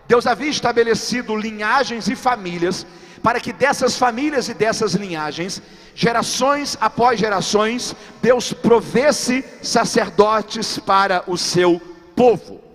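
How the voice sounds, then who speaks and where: High-voiced preacher in a reverberant church. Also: male voice, measured pace, a bit bright. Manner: preaching emphatically with repeated rhetorical questions to the congregation. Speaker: pastor. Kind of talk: sermon. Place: large church sanctuary. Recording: long hall reverb from a live church stream.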